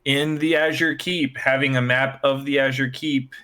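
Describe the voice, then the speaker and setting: Male podcast player, medium-pitched, informal and slightly tentative while explaining a thought to the group. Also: fairly even intonation, moderate pace, sharp tone. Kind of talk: casual group discussion of tabletop gaming.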